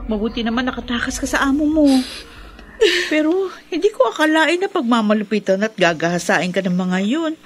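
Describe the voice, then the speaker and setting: A woman's voice: a little high, expressive, fairly quick, soft background music, natural voice. An actress in a Filipino radio drama playing a sympathetic, apologetic female friend who feels guilty. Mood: regretful, relieved. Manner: sympathetic, regretful.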